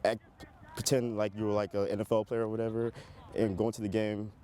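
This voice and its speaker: A young man in his late teens from Ivory Coast, a high school football player giving casual interview answers. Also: little pitch movement, fast, mellow. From born in Ivory Coast, Liberian family. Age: teens, high school senior. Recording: clear outdoor traffic and ambient noise.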